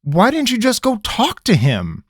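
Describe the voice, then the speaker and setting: A man's voice: medium pitch, big pitch swings, moderate pace, dry recording, clean studio sound, voice pushed up, lightly acted. A man voicing a stern, impatient male interrogator who questions bluntly and growls in exasperation.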